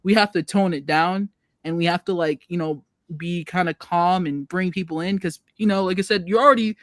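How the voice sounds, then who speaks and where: A man's voice: high-pitched, a little fast, fairly bright tone, dry recording, studio quality. A man on a podcast panel sharing his take in a chatty, casual way. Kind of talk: casual chat.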